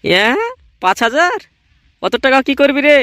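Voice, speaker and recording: A man's voice: extremely high pitch, very animated, moderate pace, pushed and bright, no echo, studio quality, full character voice. A man voicing a bossy but obliging village boss in a comedy cartoon, talking colloquially. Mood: surprised. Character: easily swayed village boss, an adult man. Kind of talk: Bengali comedy cartoon voice acting.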